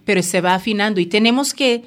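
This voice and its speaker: Jamaican woman explaining with steady encouragement. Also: medium pitch, quick pace. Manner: encouraging, explanatory, frequent tag questions. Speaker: language school representative. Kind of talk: conversational interview.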